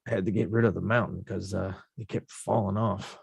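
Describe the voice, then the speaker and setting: A man reading the part of a rough-talking young male boxer at a script table read, slangy and self-mocking. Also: on the low side, steady tone, a little fast, no echo, heavily acted.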